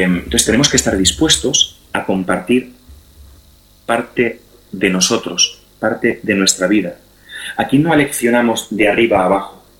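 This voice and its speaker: A man's voice: lowish voice, a bit bright. Middle-aged Spanish man in an echoey room. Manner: didactic, repeats phrases for emphasis. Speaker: guest speaker on family and sex education. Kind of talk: conversational explanatory interview talk. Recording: roomy, echoey sound.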